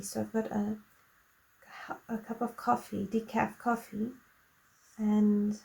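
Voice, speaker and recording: Slow, croaky woman with a gravelly voice. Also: on the high side, rough recording. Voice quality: slow, soft-spoken and gentle. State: croaky voice with a lingering cough. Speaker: coloring tutorial host. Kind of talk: relaxed chatty tutorial.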